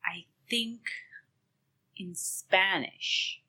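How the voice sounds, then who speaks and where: A woman from the United States co-hosting a podcast in casual chat, teasing and often dropping to soft asides. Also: medium pitch, extremely slow, husky. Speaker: podcast co-host.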